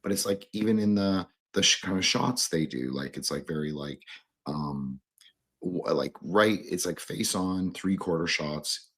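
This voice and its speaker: A man speaking casually as a podcast host, halting and digressive, with many hedges. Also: deep voice, fairly bright tone, husky. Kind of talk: casual, rambling discussion.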